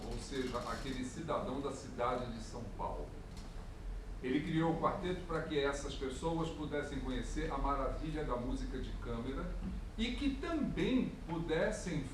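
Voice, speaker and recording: A Brazilian man gives a chatty, jokey spoken introduction from a concert stage and keeps thanking the audience. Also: fairly high pitch. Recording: echoey hall from far off, audience noise. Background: audience ambience.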